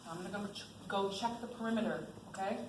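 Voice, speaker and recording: Young woman as brisk army captain, far off. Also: mid-range voice, natural voice. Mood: reassuring. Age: young adult. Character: reassuring female army captain, businesslike. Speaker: student actor in a one-act school play. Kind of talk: amateur stage acting. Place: indoor stage, recorded from the audience. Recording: distant echoey stage, clearly noisy.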